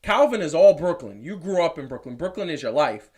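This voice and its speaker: A man's voice: a little high, big pitch swings, brisk, a little mellow. A man hosting a live sports chat show in a hyped, rambling way. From born South Carolina, raised New York. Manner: hyped, rambling, self-interrupting. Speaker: host.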